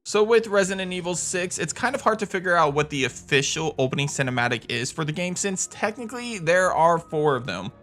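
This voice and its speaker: A man's voice: a little high, animated, fairly quick, hardly any pauses, a bit bright, soft background music. A man hosting a video countdown, giving scripted commentary on video games. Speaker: video host.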